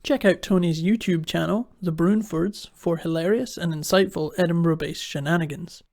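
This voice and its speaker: High-pitched, creaky male podcast producer. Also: steady tone, moderate pace, darkish tone. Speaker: producer reading the credits. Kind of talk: scripted podcast outro.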